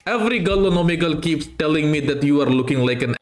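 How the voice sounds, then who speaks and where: A man's voice: on the high side, lively intonation, fast talker. A young Indian man on a headset chatting with strangers, putting on a fake Russian accent in broken English. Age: young adult. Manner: mock Russian broken English, repeats "my friend". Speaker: streamer trolling strangers. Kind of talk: put-on Russian accent prank chat. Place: indoor room, video chat.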